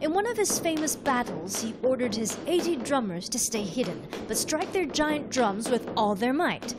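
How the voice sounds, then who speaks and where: A woman in her thirties, narrating a travel show as its host in an upbeat, chatty tour-guide manner, with loud music behind her. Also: on the high side, sing-song, normal speed. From United States. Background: loud background music.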